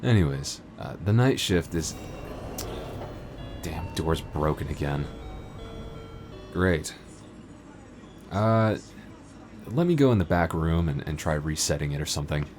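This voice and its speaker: A man's voice: a bass voice, lively intonation, unhurried, long pauses, music in the background. A man acting a flustered young vampire store clerk in an audio roleplay, stammering nervously and awkwardly. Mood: awkward, evasive. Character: flustered young male vampire working convenience store night shift. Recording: faint background noise.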